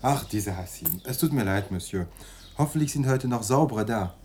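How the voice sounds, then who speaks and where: An actor playing a polite, chatty Tunisian hotel servant in a radio play, with a deferential manner. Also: male voice, lowish voice, lively intonation, moderate pace, darkish tone, a little hiss or hum, natural voice. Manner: deferential, chatty, sprinkles French words.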